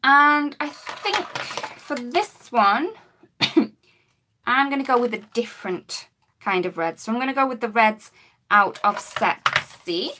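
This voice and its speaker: A woman, her voice a bit croaky, demonstrating coloring with markers in a chatty live-stream lesson. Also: on the high side, lively intonation, a little slow, sharp tone, slight background noise. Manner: chatty, talks while working, greets viewers. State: slightly croaky voice.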